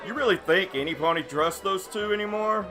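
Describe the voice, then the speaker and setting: A man's voice: high voice, brisk, bright, forceful tone. A man playing a brash, scheming pony rabble-rouser in an audio drama, cocky and conspiratorial. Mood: rousing, scheming. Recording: tavern crowd and music behind.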